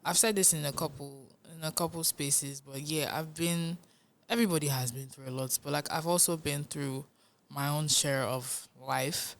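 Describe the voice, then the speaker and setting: A young woman with a Nigerian accent talks through her life and music in a relaxed interview. Her voice sits low for a woman, yet she speaks with lively, earnest energy and breaks into easy laughter. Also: moderate pace, creaky. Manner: animated, laughs often, earnest. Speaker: musician guest. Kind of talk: casual interview talk.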